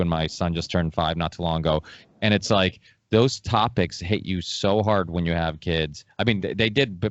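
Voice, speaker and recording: A man's voice: low-pitched, no room sound. A man from Massachusetts hosting a casual podcast, talking in a rambling, emphatic way and repeating himself as he goes. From Massachusetts, born in Portugal. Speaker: podcast host. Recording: dull lo-fi audio.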